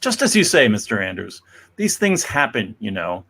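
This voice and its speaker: A man's voice: lowish voice, very animated, moderate pace, creaky, pushed and bright, husky, exaggerated voice. A man playing a courteous, weary middle-aged shopkeeper father, mild and placating, in a dramatic comic-book reading.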